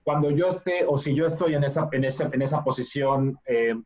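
A man from Mexico City with a Mexican accent, a guest telling his life story in a relaxed interview, full of filler words. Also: medium pitch, moderate pace. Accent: Mexican Spanish. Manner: many fillers like "este" and "¿no?". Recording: dull lo-fi audio.

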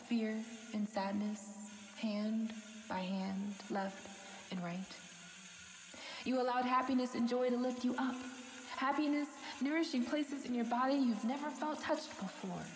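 A woman narrating a poetic audio drama as a scripted piece, with dramatic, deliberate phrasing and pauses. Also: a little high, slight background noise, rough recording. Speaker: poet and narrator.

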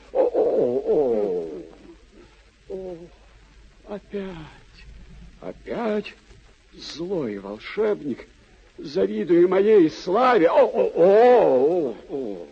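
A man playing a beaten, deluded old knight in a classic radio play, groaning and declaiming in halting, grandiose phrases. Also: on the high side, sing-song, vocal fry, slightly rough, exaggerated voice. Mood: pained, lofty. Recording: dull old radio recording with music.

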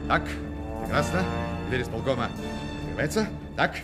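An actor playing a witty, self-assured swindler in a radio play, with mocking, theatrical, mock-solemn pronouncements. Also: male voice, mid-range voice, expressive, moderate pace, lightly acted. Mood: gleeful commentary. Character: witty, self-assured swindler, a grown man, grandly ironic. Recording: studio radio play with music.

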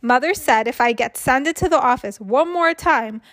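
Woman voicing a high-pitched, dramatic little schoolgirl. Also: normal speed, a bit bright. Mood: upset, dramatic. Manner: childish grammar, emphatic, indignant outbursts. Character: excitable, dramatic little schoolgirl telling her own story. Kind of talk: children's storybook read aloud.

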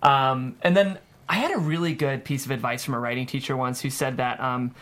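A man talks as a screenwriter in a casual interview. His pitch is average for a male voice, and he explains his craft in a thoughtful, conversational way, often dropping in "like". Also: fairly quick, fairly bright tone.

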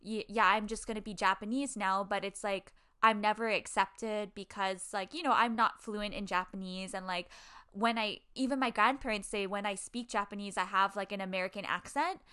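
A woman in her twenties from Hawaii, telling a personal story in casual chat, full of "like" and animated asides. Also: medium pitch, normal speed, clean tone. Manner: chatty, heavy use of "like", animated storytelling. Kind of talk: casual conversation. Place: video call.

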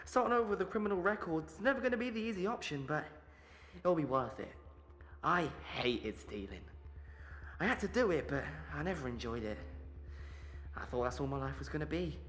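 A man acts a young convict in an audio drama. His fairly high voice rises and falls freely as he speaks earnestly, full of regret and resolve. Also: expressive, fairly quick, fairly bright tone. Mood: earnest, resolute. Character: remorseful young petty thief in prison, resolute to reform.

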